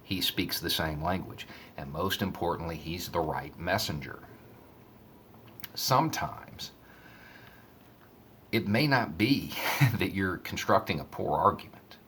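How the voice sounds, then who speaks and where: A man giving casual, conversational commentary and storytelling in a measured way. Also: low-pitched, fairly bright tone, a little hiss or hum. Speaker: online commentator.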